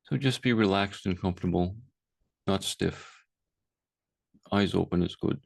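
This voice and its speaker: A man guiding a meditation as a teacher, speaking calmly and unhurriedly with long pauses. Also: low voice, creaky, no room sound. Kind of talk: guided meditation, unscripted.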